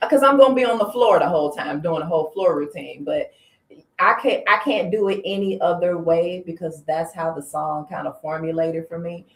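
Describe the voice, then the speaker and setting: A singer from Illinois, a woman, talking as an interview guest in an animated, chatty way. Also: on the low side, moderate pace. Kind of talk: casual interview. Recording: roomy studio sound.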